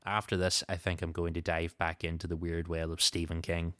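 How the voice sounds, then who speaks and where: A man's voice: sits low, flat delivery, fairly quick, fairly bright tone, smooth, dry recording, studio quality. A man hosting a podcast, moving between show announcements and casual chat. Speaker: podcast host.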